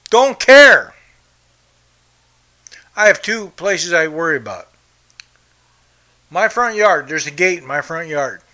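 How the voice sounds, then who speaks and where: American guy ranting on his podcast, blunt and swearing nonstop, bright forceful voice, kinda high. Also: expressive, slow pace, pauses often. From United States. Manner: blunt, profane, combative. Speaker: podcast host. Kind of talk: profane political rant. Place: home in Brazil.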